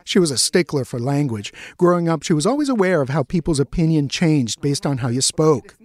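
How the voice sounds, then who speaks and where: A man's voice: a little high, animated, fairly quick, darkish tone. Wry Mexican-born man narrating radio. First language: Spanish. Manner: wry, self-mocking. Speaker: radio reporter. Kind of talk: scripted radio feature narration.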